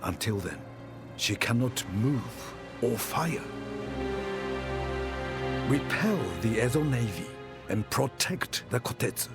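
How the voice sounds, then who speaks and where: A man acting as the solemn narrator of a historical strategy game, telling a war chronicle in a measured, grave, dramatic way. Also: medium pitch, expressive. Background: prominent music.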